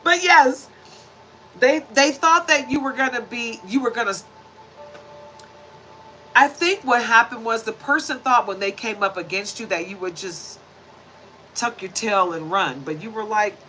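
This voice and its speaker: A woman in her forties talks her way through a tarot spread with a sharp, bright tone and a voice pitched a little high. She is chatty and preachy and keeps checking in with her viewers with little tag questions. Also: unhurried, pauses often, a little hiss or hum, low-quality recording. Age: 40s. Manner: shuffles and lays out cards while talking. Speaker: tarot reader. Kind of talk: casual tarot reading to camera.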